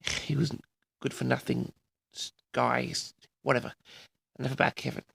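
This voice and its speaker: Man, sixties, extremely creaky voice, audio log host, halting delivery, boastful, rambling reminiscence. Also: medium pitch, flat delivery, a bit bright. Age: 60s. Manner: boastful, halting, often addresses the audience. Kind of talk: rambling personal reminiscence to listeners.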